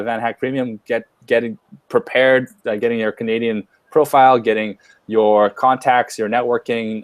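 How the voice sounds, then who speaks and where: Casual guy running a webinar, lowish voice, dry close sound, lots of ums. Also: no room sound. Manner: upbeat, many fillers and restarts. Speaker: webinar host. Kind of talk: casual live webinar talk. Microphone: webcam or headset on a video call.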